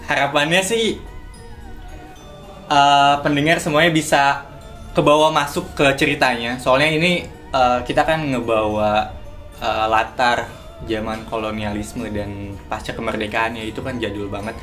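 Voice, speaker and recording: A man speaks. He uses casual slang, as in a relaxed interview about his creative work. Light music plays behind him. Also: medium pitch, unhurried. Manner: informal, colloquial Jakarta slang. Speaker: audio drama producer and writer.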